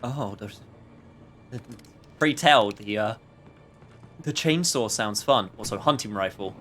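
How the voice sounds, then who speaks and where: A man giving casual, rambling, jokey live commentary while he plays a video game, with animated outbursts. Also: on the low side, slow pace, soft background music. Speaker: streamer playing a video game.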